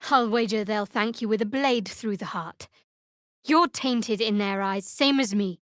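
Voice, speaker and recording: An actress playing a guarded, devout young woman cleric in a video game, with a wry, measured delivery. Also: medium pitch, dry recording, clean studio sound, close to an everyday voice. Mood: cool, wary. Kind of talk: video game character voice acting.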